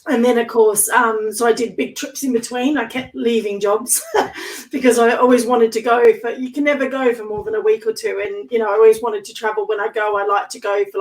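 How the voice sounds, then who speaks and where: Chatty woman, slightly hoarse. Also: on the high side, distant mic, rough recording. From Australia. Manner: chatty, laughs often, many ums. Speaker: interview guest, entrepreneur. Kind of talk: casual video-call interview.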